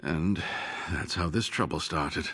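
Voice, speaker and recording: An earnest male scholar from a fantasy game, his deep voice husky and grained. He speaks in formal, measured sentences, devout and driven by his life's work. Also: pushed and bright, heavily acted. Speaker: game character. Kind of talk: voiced video game dialogue.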